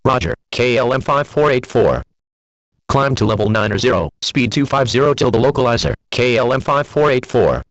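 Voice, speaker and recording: A man's voice: medium pitch, lively intonation, moderate pace, dry recording. A man speaking clipped aviation phraseology over an air traffic radio transmission. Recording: dull, band-limited air traffic radio.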